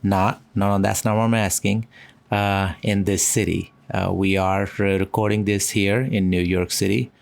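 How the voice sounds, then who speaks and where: Guy hosting a podcast, low gravelly voice, lots of uhs. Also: flat delivery, no room sound. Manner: halting, filler-heavy questions. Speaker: podcast host. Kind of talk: casual interview. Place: Manhattan.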